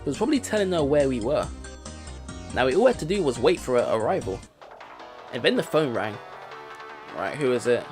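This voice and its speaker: Lively man reading out a game. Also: mid-range voice, brisk, long pauses. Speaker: let's play host. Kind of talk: reading game narration aloud with casual commentary. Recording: game music behind the voice.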